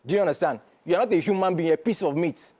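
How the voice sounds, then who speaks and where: A man's voice: sits high, quick pace, warm tone. A man with a Nigerian accent, a guest on a studio interview, speaking in an emphatic, lecturing way and often checking that he is understood. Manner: emphatic, lecturing, keeps asking "do you understand?". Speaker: guest commentator.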